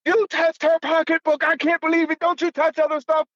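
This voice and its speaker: A man's voice: very high voice, level tone, fairly quick, fairly bright tone, no room sound, lo-fi sound, pitched above the performer's own voice. A man putting on the voice of an indignant young female classmate who scolds and accuses. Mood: accusing.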